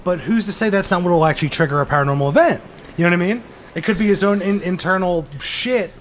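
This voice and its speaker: A man's voice: high-pitched, slight background noise. A man co-hosting a podcast, chatting casually in an easygoing, joking way. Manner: easygoing, joking, quick agreeing replies. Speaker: podcast co-host. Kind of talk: casual chat.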